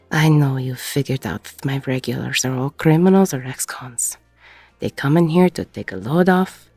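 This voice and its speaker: Woman doing a tired ex-con bar lady, gravelly n real slow, protective, world-weary, audio rp. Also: a bass voice, dry recording, full character voice. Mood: stern, protective. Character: weary, protective middle-aged ex-con female bar owner. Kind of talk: intimate audio roleplay acting.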